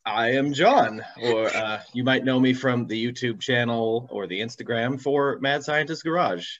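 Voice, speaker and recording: A man's voice: medium pitch, moderate pace, fairly bright tone. A man telling casual, rambling anecdotes with self-deprecating humor as a guest on a video call. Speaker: guest, car enthusiast and YouTuber. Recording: slightly dull video call audio.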